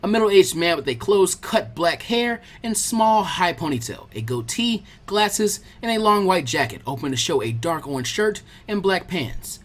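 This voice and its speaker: A man's voice: sits high, expressive, normal speed, hardly any pauses. A man reading scripted fan fiction narration as an audiobook narrator.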